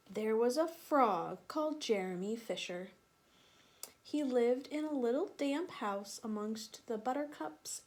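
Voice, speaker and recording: A woman reading a picture book aloud for children, slowly and deliberately, with sing-song emphasis. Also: a little high. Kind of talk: read-aloud children's storytelling.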